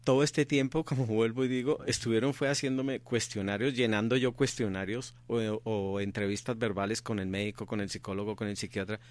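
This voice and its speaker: A middle-aged man from Colombia speaks in a fairly low voice. He answers an interviewer earnestly and insistently, and he often repeats his main point to drive it home. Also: fairly even intonation, brisk, few pauses. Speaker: interview guest, activist father.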